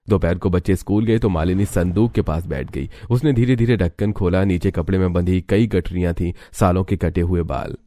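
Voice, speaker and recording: Deep, mellow male story narrator. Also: dry recording.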